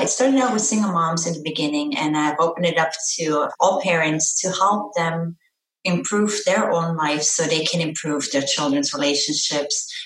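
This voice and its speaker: A middle-aged woman from Austria, a parent coach, chatting earnestly and cheerfully in an interview. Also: lowish voice. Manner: earnest, upbeat, laughs easily. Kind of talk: casual interview chat. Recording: echoey room, voice a bit far from mic.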